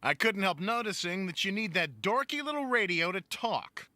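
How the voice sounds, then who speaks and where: A man voicing a grumpy, self-centred cartoon cat sidekick with deadpan, wisecracking delivery. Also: sits high, normal speed, no room sound, heavily acted. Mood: smug. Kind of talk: animated cartoon acting.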